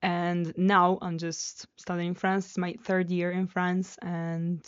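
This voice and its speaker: A young Italian woman chatting casually in a student room, her voice smooth and resonant. The sound is close and dry, with a slightly dull, lo-fi edge. She talks freely and now and then gropes for English words. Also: on the low side, level tone, takes it slowly, no echo. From Sardinia, Italy. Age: 20s. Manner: chatty, searches for English words, slips into French. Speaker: guest. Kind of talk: casual podcast chat.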